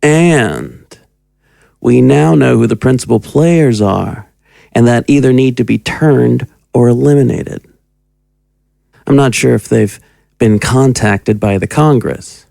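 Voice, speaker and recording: Calculating male agent, audio drama, creaky voice, businesslike, clipped, dry sound. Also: medium pitch, slow pace, mellow, dry recording. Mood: businesslike, scheming. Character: crisp, calculating male agent reporting to a superior.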